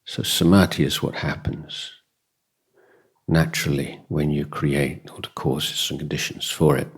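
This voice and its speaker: A man with an extremely low, husky voice leads a guided meditation. He speaks as a dhamma teacher in short, deliberate phrases, leaving long pauses between them. The delivery is slow and measured throughout. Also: steady tone. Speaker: Buddhist monk and meditation teacher.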